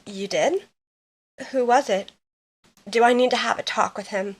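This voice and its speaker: Girl playing worried bestie in an audio drama, highish voice, protective and caring. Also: a little slow. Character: concerned, protective young female friend. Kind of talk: fan audio drama acting.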